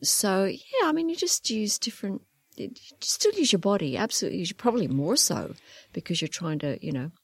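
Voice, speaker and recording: A woman with a slight Northern Irish accent and a warm, comforting voice, a voice actor chatting animatedly in an interview. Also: mid-range voice, brisk, breathy edge, no echo. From Northern Ireland. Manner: animated, laughs easily. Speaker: voice actor guest. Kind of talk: casual interview chat.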